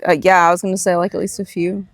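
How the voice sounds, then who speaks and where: Woman, parent co-host of a casual family vlog, lower-pitched, dry sound. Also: little pitch movement, racing, no echo. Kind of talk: casual chat.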